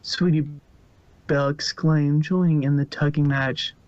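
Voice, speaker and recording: A man's voice: fairly high pitch, fairly even intonation, measured pace, a little mellow. A man narrating fan fiction as a scripted story reading. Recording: muffled, dry lo-fi recording.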